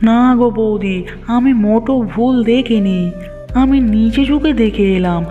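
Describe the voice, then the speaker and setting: A man's voice: extremely high pitch, moderate pace, dark tone, lo-fi sound, faint music behind. A man playing an alarmed young village neighbour, urgent and melodramatic, in a fairy-tale cartoon.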